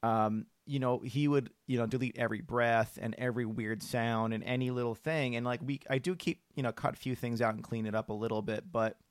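A man with a mid-pitched voice co-hosts a podcast in a casual, easygoing way. His sentences ramble along informally, and the recording is very dry. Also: fairly even intonation, brisk, few pauses, clean tone, no room sound. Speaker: podcast co-host.

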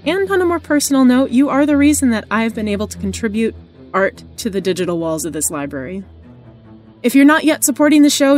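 High-voiced woman hosting a podcast. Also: darkish tone. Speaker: guest podcast host. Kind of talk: scripted podcast introduction.

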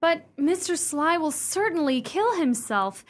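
An actress as a fretful widowed lady of the house in a radio drama, very high in pitch. Her delivery swoops theatrically, genteel yet easily alarmed. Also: expressive, unhurried. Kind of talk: radio play acting.

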